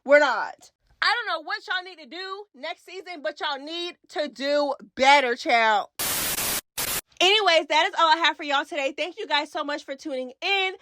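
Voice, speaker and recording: A woman's voice: high voice, normal speed, background noise. A woman giving casual, opinionated reality TV commentary in a sassy, slangy, emphatic way. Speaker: YouTube reality TV recap host.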